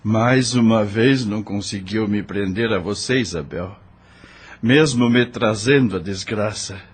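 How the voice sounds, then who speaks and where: A man's voice: on the low side, a little slow, slightly rough. A man playing a wounded, defiant prisoner in an audio drama, bitter yet steadfast in his faith. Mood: defiant, bitter irony.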